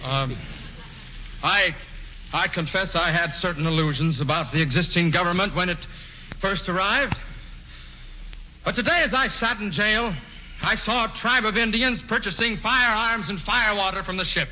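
A man playing a brash, defiant young male hero in a 1940s radio play, with a bright, bold delivery. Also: sits high, moderate pace, pauses often, slight background noise. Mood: earnest. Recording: dull old radio with long reverb.

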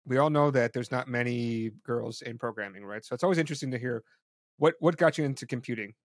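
A man's voice: medium pitch, fast, no echo, studio quality. A man hosting an interview, casual and curious, often restarting his sentences. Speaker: interview host. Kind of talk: interview conversation.

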